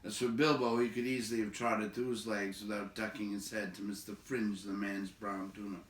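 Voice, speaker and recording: A man's voice: fairly low pitch, level tone, brisk, a little hiss or hum. A man reading a storybook aloud as narrator. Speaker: audiobook reader. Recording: echoey room, slightly far from mic.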